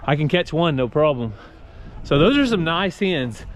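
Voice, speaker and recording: A man with a fairly high voice talks casually in a plain, folksy way, as if to family viewers of a farm vlog. The recording is handheld, with some background noise. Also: very animated, normal speed. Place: outdoors on a farm.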